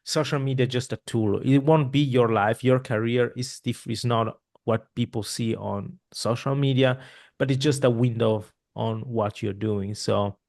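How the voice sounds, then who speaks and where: A man's voice: mid-range voice, steady tone, moderate pace, creaky, a little mellow. A man, hosting a podcast interview in a loose, rambling way with many restarts and fillers. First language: Italian. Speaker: podcast host. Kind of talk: casual interview chat. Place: home room. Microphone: boom mic with headphones, video call.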